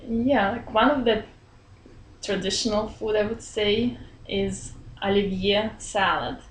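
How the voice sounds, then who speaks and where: A woman's voice: mid-range voice, fairly even intonation. Belarusian woman in her thirties, roomy office. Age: 30s. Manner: friendly, laughs often, pauses to search for words. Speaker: interviewee. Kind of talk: casual interview chat. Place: small office indoors. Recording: roomy echo, faint room hum.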